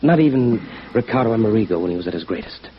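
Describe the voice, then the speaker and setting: An actor playing a proud, passionate violinist with halting, fervent delivery in 1950s radio drama style. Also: male voice, lowish voice, expressive, lightly acted. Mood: fervent, wounded. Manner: halting, fervent, emphatic repetitions. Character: anguished, passionate male violinist, proud and sensitive. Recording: muffled, hissy vintage radio recording.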